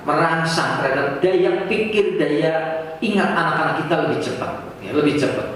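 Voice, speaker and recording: A man's voice: on the high side, moderate pace, fairly bright tone. A man with a Banyumasan Javanese accent giving an informal, didactic speech to teachers, full of rhetorical questions and colloquial Javanese. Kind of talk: informal public address to teachers. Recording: echoey room from far off, faint noise.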